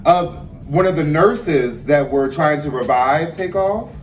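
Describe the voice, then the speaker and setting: A man's voice: on the high side, takes it slowly. A man from Baltimore with a Baltimore accent, co-hosting a podcast in casual, chatty talk that keeps correcting itself. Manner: animated, chatty, self-correcting. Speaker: podcast co-host. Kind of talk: casual chat. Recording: echoey room, distant mic, faint music.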